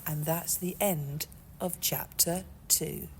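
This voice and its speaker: A woman author reading a chapter of her own novel in a measured storytelling manner. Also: low-pitched, steady tone, a little hiss or hum.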